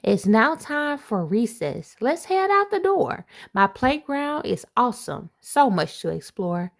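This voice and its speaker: A woman, an assistant principal, reading a rhyming picture book aloud to children, with playful asides and expressive delivery. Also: fairly high pitch, unhurried, vocal fry.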